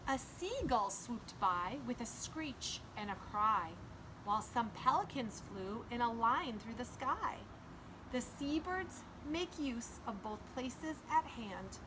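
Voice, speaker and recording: A woman's voice: a little high, lively intonation, slow pace, noisy background. A woman preschool teacher reading and explaining simply and instructively to young children. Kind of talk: children's read-aloud and simple lesson.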